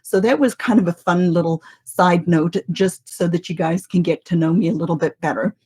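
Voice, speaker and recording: Husky woman, faint South African lilt. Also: fairly low pitch, normal speed, a little mellow. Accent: mixed international with faint South African traces. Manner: conversational, rhetorical questions, frequent "right?". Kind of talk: teaching podcast talk.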